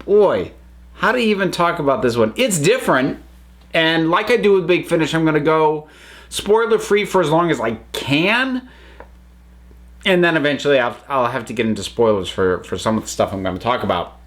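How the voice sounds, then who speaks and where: A man's voice: on the high side, lively intonation, moderate pace, a bit bright. A man hosting a casual spoken review, rambling along with many fillers and restarts. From United States. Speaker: host reviewing audio dramas.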